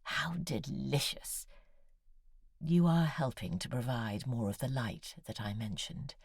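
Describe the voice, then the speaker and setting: A woman's voice: rumbling low, measured pace, creaky, a little mellow, husky, no room sound, clean studio sound, heavily acted. An actress playing an anxious, needy, brooding woman novelist in an audio drama, articulate and confiding as she voices her letters. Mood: delighted.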